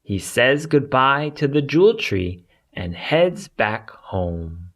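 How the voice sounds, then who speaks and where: A narrator reading a scripted picture story aloud for young children, deliberately and in simple phrases with pauses. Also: mid-range voice, sing-song.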